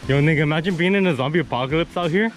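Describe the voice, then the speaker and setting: A man's voice: fairly high pitch, very fast. A young man vlogging on a hike along a wooded creek, talking casually with lots of cursing and laughter, recorded on a handheld camera while walking. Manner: profane, laughing, excitable. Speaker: vlogger hiking with a friend. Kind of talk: casual chat. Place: outdoors on a wooded creek trail.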